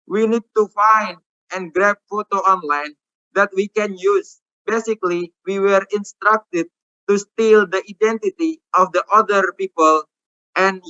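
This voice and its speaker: A man with an Indonesian accent reading a prepared witness statement aloud in halting, deliberate phrases. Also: high-pitched, sharp tone, dry recording. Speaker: witness giving testimony. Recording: dull, slightly muffled audio.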